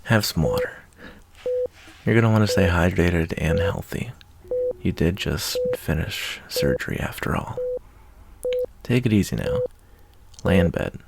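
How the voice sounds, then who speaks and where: A man playing a caring, folksy wasteland doctor in an ASMR roleplay, talking in an unhurried, reassuring, slightly hesitant way. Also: sits low, wildly swooping pitch, vocal fry, heavy background noise, heavily acted. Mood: calm, caring. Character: laid-back, fatherly wasteland doctor, gruff but caring adult man. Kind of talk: ASMR roleplay, softly acted monologue.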